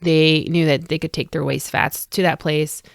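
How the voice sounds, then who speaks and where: A woman presents a podcast in a low-pitched voice. She explains things in a casual, enthusiastic way, recorded dry and close to the microphone. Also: fairly even intonation, normal speed, creaky, no echo. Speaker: podcast host.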